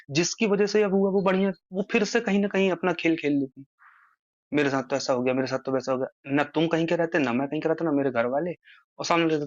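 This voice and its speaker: Fast-talking man on a muffled phone call. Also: fairly high pitch, no room sound. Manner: earnest, explaining at length, rising emphasis. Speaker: social media creator on a phone call. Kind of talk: casual phone conversation.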